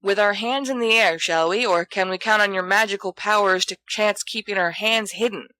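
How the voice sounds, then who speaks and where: A woman's voice: medium pitch, moderate pace, pushed and bright, no echo, lightly acted. A woman reading a storybook as a cocky, flippant schoolboy prankster with an airy, dramatic manner. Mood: wry, defiant.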